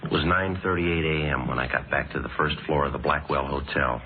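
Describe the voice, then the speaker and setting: Radio detective sergeant: extremely low voice, quick and deadpan, matter-of-fact, old crime drama sound. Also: male voice. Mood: deadpan narration. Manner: clipped, deadpan, polite. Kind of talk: 1940s-50s radio drama acting and narration. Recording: noisy, phone-like old radio recording.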